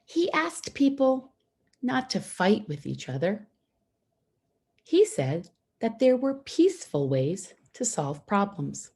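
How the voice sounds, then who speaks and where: A woman reading a children's picture book aloud, phrasing it simply and deliberately with pauses for young listeners. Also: medium pitch, big pitch swings, darkish tone, husky. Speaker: storybook reader for schoolchildren.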